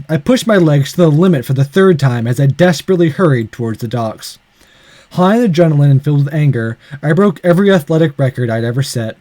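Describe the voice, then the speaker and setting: Higher-voiced man reading a visual novel aloud. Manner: expressive reading, swears in casual asides. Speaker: let's player.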